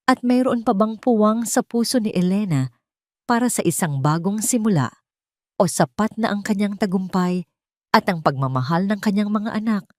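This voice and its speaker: A woman reading scripted first-person narration of a dramatic story in a measured, solemn way. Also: mid-range voice, a little mellow, dry recording, clean studio sound.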